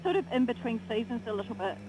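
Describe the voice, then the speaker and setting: A woman's voice: fairly high pitch, expressive, quick pace, darkish tone, low-quality recording. Bubbly woman on a windy motorbike. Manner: bubbly, chimes in and finishes his sentences. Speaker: vlogger, pillion passenger. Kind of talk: casual chat while riding. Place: outdoors on a moving motorbike. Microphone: action camera on the bike.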